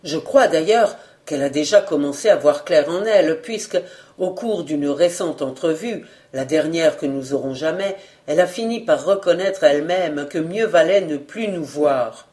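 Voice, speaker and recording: An earnest young man of the press from a novel, voiced by a woman reader. He speaks low and measured, in formal phrases, with a kindly sincerity. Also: darkish tone, close to an everyday voice. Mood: regretful.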